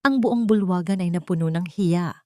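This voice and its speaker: A woman's voice: fairly low pitch, normal speed, darkish tone, no echo, studio quality. A woman reading a scripted story as a dramatic audiobook narrator.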